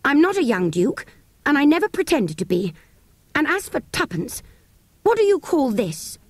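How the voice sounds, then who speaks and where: A woman's voice: very animated, normal speed, no room sound, clean studio sound. A woman voicing a plucky, well-bred young English boy in a storybook, earnest, proper and easily indignant. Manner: earnest, proper, high-pitched delivery.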